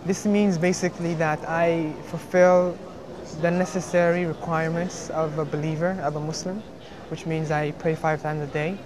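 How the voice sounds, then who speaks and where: A young man from Somalia, a student, speaking earnestly in an interview with many pauses and restarts. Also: a little high, little pitch movement, a crowd in the background. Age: young adult. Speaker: engineering student. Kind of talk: interview testimony.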